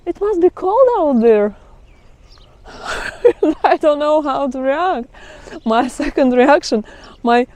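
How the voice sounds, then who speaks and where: Middle-aged female vlogger with a Russian accent, relaxed and mellow, casual chatty delivery. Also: sits high. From Kazakhstan. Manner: chatty, laughs often, non-native phrasing. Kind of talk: casual vlog chat. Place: outdoors by trees in melting ice.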